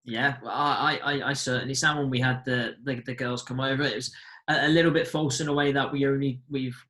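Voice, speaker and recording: Flat-toned man, chatty. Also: medium pitch, brisk. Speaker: soccer academy coach, podcast host. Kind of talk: casual podcast chat.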